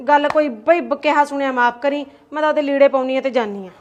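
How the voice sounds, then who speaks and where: A woman's voice: high-pitched, fast, muffled recording, slight background noise, lightly acted. A woman, acting an aggrieved sister-in-law who complains and taunts with rhetorical questions in a family skit. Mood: sulky. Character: aggrieved Punjabi aunt, taunting and sulky.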